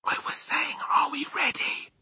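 A man's voice: fairly high pitch, huge pitch swings, moderate pace, fairly bright tone, no echo, pitched above the performer's own voice, heavily acted. A man voicing a small young storybook girl, plucky and polite, with proper phrasing. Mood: whispering. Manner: polite, proper phrasing, often breathy whispering.

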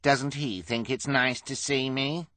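A man's voice: mid-range voice, sing-song, pushed and bright, dry recording, pitched above the performer's own voice. A man voicing a rude, sarcastic man who jeers and taunts in a storybook. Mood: sneering, sarcastic. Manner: sarcastic, drawn-out jeering.

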